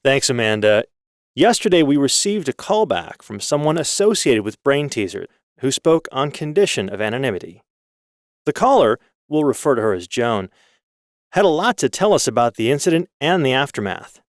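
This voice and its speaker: A man's voice: medium pitch, sing-song, normal speed, dry recording, clean studio sound, lightly acted. A man playing a businesslike radio producer in an audio drama, reporting in a formal, explanatory manner. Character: resourceful male radio producer, crisp and businesslike.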